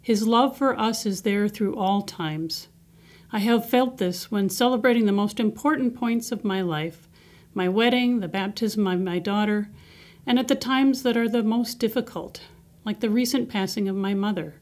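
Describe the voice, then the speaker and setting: Female devotional reader: mid-pitched voice, earnest, measured scripted delivery. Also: a little mellow. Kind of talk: scripted devotional reading and reflection.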